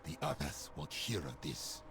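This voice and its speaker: A defiant, blunt fantasy game traditionalist. The voice is low and gravelly and swings widely, with music underneath. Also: male voice, big pitch swings, measured pace, full character voice. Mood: stern. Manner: blunt, dismissive. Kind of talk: fantasy game character acting.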